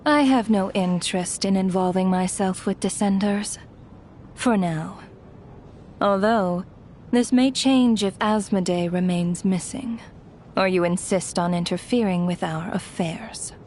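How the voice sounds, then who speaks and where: A woman's voice: medium pitch, unhurried, darkish tone, a little hiss or hum, lightly acted. A woman playing a cold, imperious ruler of death in a video game, speaking formally and with detached menace. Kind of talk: scripted video game acting.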